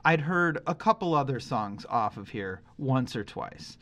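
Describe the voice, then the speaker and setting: A man's voice: medium pitch, expressive, a little slow, a bit bright. Rambling, emphatic man hosting a podcast. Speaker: podcast host. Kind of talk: casual conversational music discussion.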